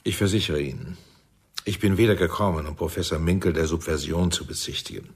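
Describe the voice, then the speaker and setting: Low, husky man as shrewd spymaster. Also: a little fast. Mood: politely measured. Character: shrewd commanding Israeli intelligence chief, sardonic and persuasive. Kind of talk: German radio play acting.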